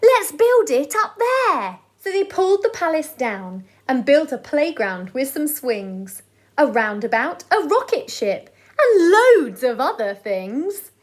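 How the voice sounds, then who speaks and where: A woman reading aloud to children as a storyteller, in an expressive style. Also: sits high. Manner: deliberate, sing-song delivery of rhyming verse.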